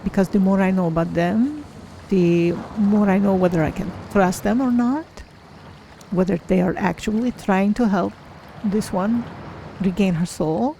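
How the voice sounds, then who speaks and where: A woman voicing a curious, cautious female Khajiit cat-person adventurer in a measured, formal way for a game roleplay. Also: mid-range voice, vocal fry, warm tone, slight background noise, poor audio. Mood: thoughtful. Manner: measured, formal, speaks of self in third person. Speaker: streamer roleplaying a character. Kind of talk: in-character game roleplay narration.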